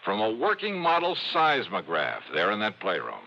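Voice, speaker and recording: A man's voice: lowish voice, wildly swooping pitch, moderate pace, creaky, exaggerated voice. An actor playing a sardonic, confident American insurance investigator in a hardboiled golden age radio drama, probing as he talks. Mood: sardonic, pressing. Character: wry hardboiled male freelance insurance investigator. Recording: muffled, noisy old radio recording.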